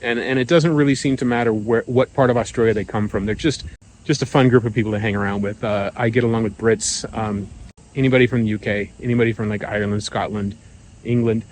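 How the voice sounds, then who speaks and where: A middle-aged man talking in a relaxed, rambling way, with many fillers and restarts, as a vlogger sharing his views. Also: lowish voice, fast talker, slight background noise, low-quality recording. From United States. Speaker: vlogger living abroad. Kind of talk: casual monologue.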